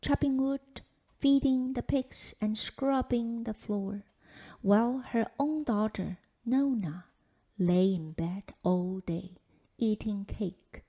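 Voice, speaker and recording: A woman's voice: medium pitch, slow, dark tone. A woman reading a children's folktale aloud as scripted storybook narration. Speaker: storybook reader.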